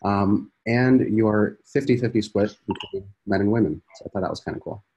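A man's voice: fairly low pitch, steady tone, brisk, darkish tone, breathy edge, low-quality recording. A man in his thirties hosting a founders' video call, talking casually with many fillers. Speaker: program host. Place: indoor room. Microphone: laptop video call.

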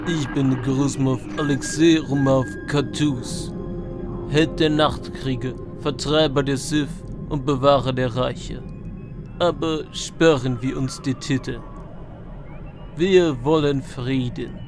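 Man as slow, pompous space warlord. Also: mid-range voice, fairly even intonation, music in the background, lightly acted. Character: pompous male warlord governor, scheming, self-glorifying.

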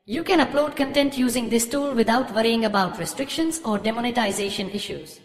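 A woman's voice: on the high side, fairly quick, big-room sound. A woman's voice made with an AI voice changer, reading scripted tutorial narration.

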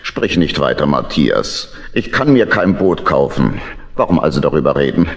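An actor playing a wistful, homebody old badger uncle in a children's audio play, sighing and grumbling. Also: male voice, extremely low pitch, big pitch swings, moderate pace, heavily acted. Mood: wistful, resigned.

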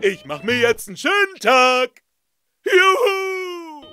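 A man's voice: extremely high pitch, big pitch swings, slow pace, bright, forceful tone, faint music behind, heavily acted. A man playing a carefree, goofy cartoon friend who coaxes playfully in an audio drama. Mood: carefree, coaxing.

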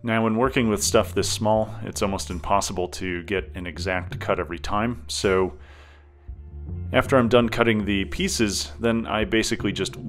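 A man hosting a hobby workbench video, narrating in a relaxed, thinking-aloud way with frequent "uh" fillers. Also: lowish voice, normal speed, soft background music. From United States. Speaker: model railroad hobby host. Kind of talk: relaxed tutorial narration over workbench footage. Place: home workbench.

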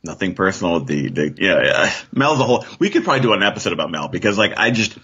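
A man's voice: low-pitched, very animated, fast talker, fairly bright tone, breathy edge. A man chatting casually as a podcast guest, offering opinions in a hesitant, hedging way over slightly dull audio.

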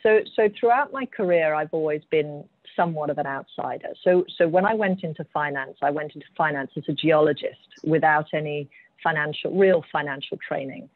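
A woman's voice: low-pitched, brisk, no room sound. A woman with an English accent, a mining executive talking earnestly on a panel and often repeating words as she thinks. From United Kingdom. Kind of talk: panel discussion over video call. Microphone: video call. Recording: tinny, phone-like call audio.

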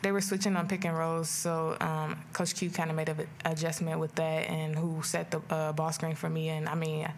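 A young woman basketball player answering questions at a postgame press conference, low-key and soft-spoken with many ums, into a table mic. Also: little pitch movement, moderate pace, vocal fry, hardly any pauses, a little hiss or hum. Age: young adult.

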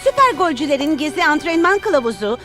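An upbeat cartoon TV reporter. She announces in a polished, high voice with lots of melody, over noticeable music. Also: big pitch swings, brisk, fairly bright tone. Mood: upbeat, informative. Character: brisk, upbeat female television news reporter. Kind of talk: cartoon news bulletin.

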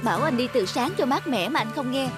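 A woman's voice: high voice, lively intonation, quick pace, loud music behind the voice. A woman voicing a thrifty young wife and mother in a cartoon, grumbling and fussy. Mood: complaining. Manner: complaining, fussy. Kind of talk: cartoon voice acting.

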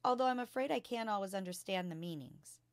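A woman's voice: medium pitch, animated, fast talker, no room sound, lightly acted. Lady voicing a smart five year old girl, polite n modest, storybook read, dry sound. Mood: earnest, polite.

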